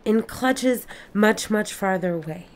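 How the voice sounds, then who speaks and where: Patient female interviewer, medium pitch, formal, measured, courteous, audio drama. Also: expressive. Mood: polite, measured. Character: polite, patient female interviewer gathering people's memories.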